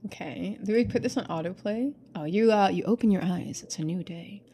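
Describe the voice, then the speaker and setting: A woman streamer giving chatty game commentary and expressive narration. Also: medium pitch, fairly quick, a little hiss or hum. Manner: casual, chatty. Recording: music behind the voice. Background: music.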